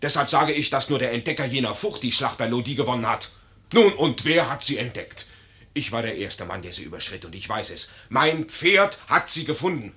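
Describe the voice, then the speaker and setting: An actor in a 1950s radio play as a boastful, dim-witted young cavalry lieutenant with a pompous manner. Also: male voice, medium pitch, lively intonation, a little fast, pushed and bright. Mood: proud, excited. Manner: pompous, self-important.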